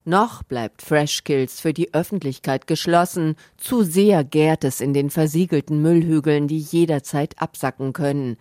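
A man reporting in calm, descriptive scripted narration. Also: on the high side, unhurried, few pauses, no room sound, clean studio sound.

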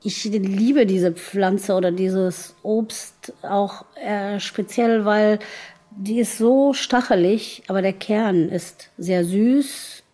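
A woman speaks in a dark, relaxed tone. She goes very slowly, pausing to search for words as she reflects. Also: mid-range voice. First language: Arabic. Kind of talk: unscripted reflective talk.

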